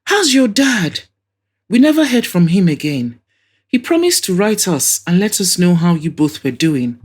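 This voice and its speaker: A woman's voice: lowish voice, sing-song, normal speed, slightly rough, pitched above the performer's own voice. A woman voicing an effusive, nostalgic older mother and hostess in an audio drama. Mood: sorrowful. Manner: effusive, exclaiming, reminiscing.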